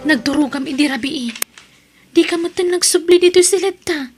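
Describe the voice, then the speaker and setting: A woman's voice: high voice, moderate pace, music in the background, lightly acted. A woman playing a young, teasing housemaid in a radio drama. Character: young housemaid, gossipy coworker.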